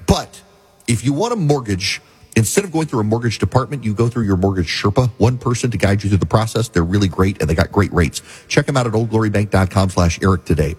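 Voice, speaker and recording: Middle-aged male radio host, head cold, husky and a bit low, chatty, self-mocking. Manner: chatty and self-deprecating, with frequent restarts. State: head cold, slightly scratchy voice. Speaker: radio talk show host. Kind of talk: casual talk radio monologue.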